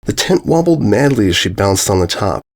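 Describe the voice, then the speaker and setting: A man narrating a rhyming children's story in a measured, singsong cadence. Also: fairly low pitch, very animated, vocal fry. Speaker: story reader.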